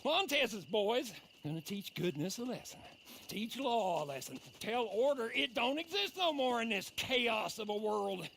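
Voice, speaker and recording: Creaky, high-pitched old Western rascal. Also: male voice, big pitch swings, a little slow, a bit bright, slight background noise, heavily acted. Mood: grim, taunting. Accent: American. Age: older. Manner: folksy, drawling, sly. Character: clapped-out old rascally Western drifter, sly and folksy. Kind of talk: video game cutscene acting.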